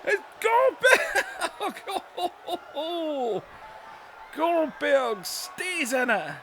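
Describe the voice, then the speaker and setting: Excitable high-voiced man commentating wrestling. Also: drawn-out words, background noise. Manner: excitable, shouts and laughs at big moves. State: voice going hoarse. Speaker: play-by-play commentator for a wrestling video game.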